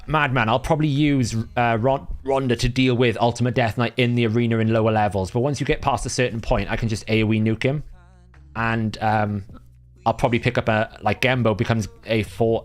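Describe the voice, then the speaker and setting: A man's voice: medium pitch, fairly even intonation, a little fast, faint music behind. A Welsh man whose first language is Welsh hosts a live game stream, thinking aloud in a chatty way and often correcting himself. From Wales. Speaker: livestream host. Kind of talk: casual gameplay commentary.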